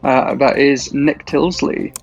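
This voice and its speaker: A friendly man in his thirties with a Shetland accent, chatting easily and sometimes pausing to think. The sound is slightly dull, and faint music runs underneath. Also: mid-range voice, steady tone. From Shetland Isles, Scotland. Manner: friendly, chatty, laughs often, some hesitation. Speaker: quiz contestant. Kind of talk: casual interview answers.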